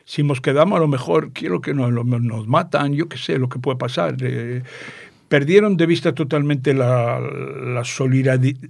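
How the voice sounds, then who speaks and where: An older man from Tangier with a northern Moroccan Judeo-Spanish accent, chatting as an interview guest in a playful, digressive storytelling way and pausing to explain words. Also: medium pitch, gravelly, darkish tone, no room sound, clean studio sound. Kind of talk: conversational storytelling.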